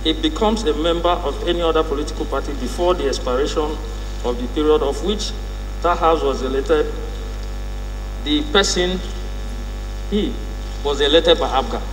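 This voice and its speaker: A man with a Nigerian accent raising a formal point of order in parliament, deliberately, in short broken phrases. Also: a little high. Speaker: lawmaker, minority leader. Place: large indoor legislative chamber. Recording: echoey hall with mains hum.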